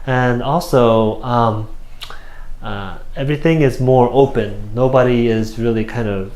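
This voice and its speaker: A man in his thirties from South Korea, speaking English with a Korean accent as an interview guest, halting with many fillers and restarts. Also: medium pitch, darkish tone. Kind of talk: casual interview answers. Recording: roomy echo.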